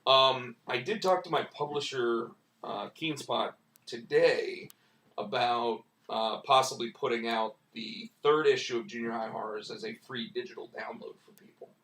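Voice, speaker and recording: Man, hoarse, rambling casual host, mid pitch, roomy and off-mic. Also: moderate pace, a bit bright, recorded from a distance. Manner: rambling, many restarts and "like" fillers. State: losing his voice, hoarse. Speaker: comic book writer and stream host. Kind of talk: casual live-stream chat.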